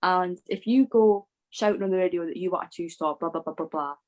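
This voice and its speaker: A woman's voice: fairly low pitch, a little fast, faint music behind. A woman co-hosting a casual motorsport chat in an informal, opinionated way. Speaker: podcast co-host.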